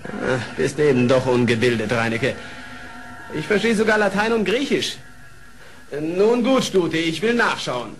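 A haughty, sneering wolf in a radio play. His voice is high and very animated, full of gullible boasting. It sounds roomy, with a little noise. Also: unhurried, faint music behind. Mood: boastful.

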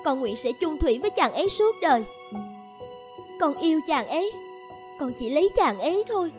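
A woman's voice: high voice, very animated, normal speed, faint music behind. A woman voicing a devoted, stubborn young village woman in love in a cartoon, earnest and pleading. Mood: pleading, defiant. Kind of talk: cartoon voice acting.

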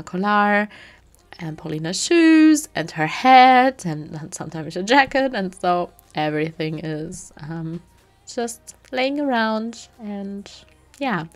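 A woman in her twenties narrating a home cleaning vlog in a relaxed, chatty voice-over, full of fillers. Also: fairly low pitch. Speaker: homemaking vlogger.

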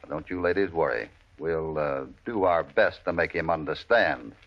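An actor playing a steady, quietly authoritative cowboy hero in an old-time radio Western, calm, dry and understated. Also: male voice, extremely low pitch, moderate pace. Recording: dull vintage radio recording.

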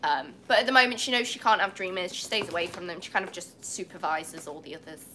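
A woman's voice: on the low side, quick pace, noisy background. A young English woman with a cold, chatting on a livestream from a cat cafe, apologetic and laughing often. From England. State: has a cold. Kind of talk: casual livestream chat. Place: indoor cat cafe with large windows. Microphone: phone on a tripod.

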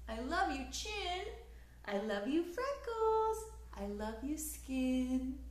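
A woman in her thirties reading a picture book aloud to young children in an expressive, sing-song way, recorded in a living room. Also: high voice, slow pace, reverberant. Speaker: children's story time host. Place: small living room, indoors on a couch.

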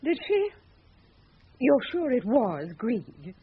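Exasperated wife in a radio play, sarcastic, husky, really animated, phone-like thin recording. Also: female voice, on the high side, slow pace, heavily acted. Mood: scornful. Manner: sardonic, mocking, cutting. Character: scornful, exasperated wife guarding a secret. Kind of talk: radio drama acting. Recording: tinny, band-limited radio drama recording.

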